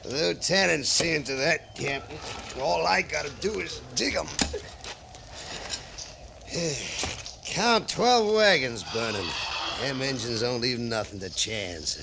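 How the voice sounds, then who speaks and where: An actor playing a callous, sardonic, folksy frontier cavalry trooper in a 1950s western radio drama. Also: male voice, medium pitch, expressive, slow pace, vocal fry. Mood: grim, matter-of-fact. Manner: folksy, sly frontier drawl talk. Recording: noisy, crackly 1950s radio recording.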